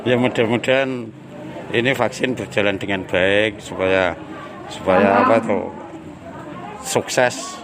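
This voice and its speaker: Stumbling villager with a low voice. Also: male voice, fairly even intonation, slow pace, pauses often, noisy background. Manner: plain, repetitive, stumbling. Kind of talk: casual interview answers. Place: outdoors at a village hall.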